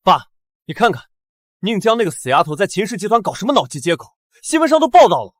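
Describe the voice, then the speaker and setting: A man's voice: sits high, big pitch swings, quick pace, no room sound, studio quality. A man playing a greedy, brash, spiteful young schemer in a short drama. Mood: scheming.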